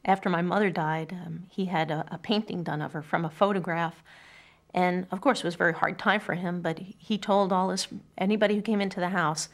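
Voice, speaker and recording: A woman's voice: on the low side, steady tone, brisk. A middle-aged woman speaking in an earnest, thoughtful way, reflective as she shares personal words or poetry. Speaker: poetry reader telling family memories. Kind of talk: reflective personal storytelling and poem reading. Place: quiet furnished room indoors.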